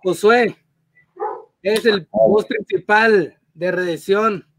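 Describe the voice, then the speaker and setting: High-voiced man asking short questions. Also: slow pace. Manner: brief, friendly questions. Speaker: interviewer. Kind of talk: casual interview over video call. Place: home room indoors.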